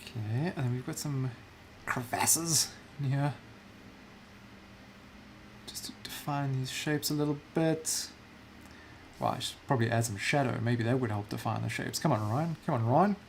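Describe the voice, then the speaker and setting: A man's voice: mid-range voice, moderate pace, vocal fry, pauses often, slight background noise. A South African man chatting casually while livestreaming a digital painting, muttering self-deprecating remarks to himself as he works.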